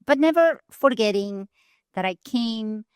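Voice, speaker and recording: A woman from Argentina, a nonprofit leader telling her story in an earnest, measured way with deliberate pauses. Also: fairly high pitch, expressive, clean tone, dry recording, clean studio sound. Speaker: guest, nonprofit CEO. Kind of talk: storytelling interview answers. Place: conference venue.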